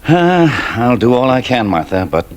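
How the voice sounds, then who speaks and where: An actor playing a calm, kindly family doctor in an old radio drama, slow and soothing. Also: male voice, medium pitch, lo-fi sound, natural voice. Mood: grave, reluctant. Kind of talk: 1950s radio drama acting.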